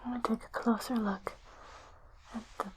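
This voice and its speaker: A woman playing a calm, clinical spaceship doctor in an ASMR roleplay, whispering breathily in a soothing, gently inquisitive way. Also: a little high, normal speed, slight background noise, lightly acted. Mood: curious, concerned. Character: calm, clinical female spaceship doctor examining an injured crewmate.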